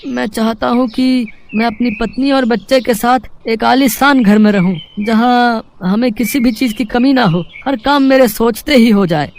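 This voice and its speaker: A man's voice: very high voice, slight background noise. Man voicing a hesitant, earnest poor village husband. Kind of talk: animated moral storytelling voice acting.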